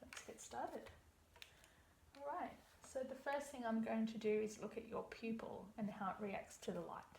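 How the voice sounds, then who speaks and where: Gravelly-voiced woman practitioner. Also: fairly high pitch, moderate pace, darkish tone. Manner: explains step by step, reassuring. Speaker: iridology practitioner. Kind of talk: practice consultation. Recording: echoey room, faint background hum.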